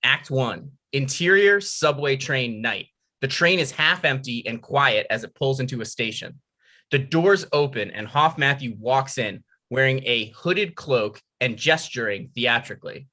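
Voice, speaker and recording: Casting: male script narrator, medium pitch, forward bright tone, reading scene headings and action. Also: lively intonation, unhurried. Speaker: narrator reading stage directions. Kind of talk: scripted narration at a table read.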